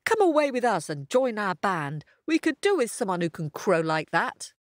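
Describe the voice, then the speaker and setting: Woman voicing a jovial, vain storybook donkey, lively swooping pitch. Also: moderate pace, no echo, clean studio sound, lightly acted. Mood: jovial, enthusiastic. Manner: jovial, bossy, exclamatory. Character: vain, cheery male donkey, would-be lead singer. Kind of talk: storybook character voice.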